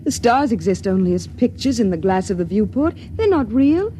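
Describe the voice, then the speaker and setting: An actress playing a timid, devout young woman of a starship society, soft and earnest in 1950s radio drama style. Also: medium pitch, sing-song, moderate pace, close to an everyday voice. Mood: detached, puzzled. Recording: noisy old radio recording.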